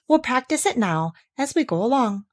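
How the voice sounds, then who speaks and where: A good-natured male toy bear of very little brain, done by a woman reading a storybook. He talks slowly and earnestly, with a lively, swinging melody. He sounds simple and a bit muddled. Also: a little high, pitched above the performer's own voice. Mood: cheerful, explaining.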